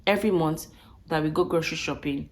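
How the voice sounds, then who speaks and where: A woman with a croaky voice, recovering from flu, chatting casually to viewers and often repeating and rephrasing as she goes. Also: low-pitched, fast. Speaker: vlogger. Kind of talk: casual budget breakdown talk to viewers.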